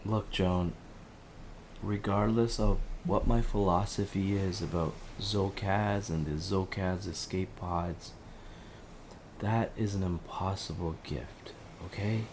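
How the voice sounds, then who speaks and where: A man's voice: deep voice, steady tone, slow, a little mellow, slight background noise. A man playing an earnest, empathetic crisis helpline operator in an audio drama, reassuring and imploring in short, repeated pleas. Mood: tender, earnest.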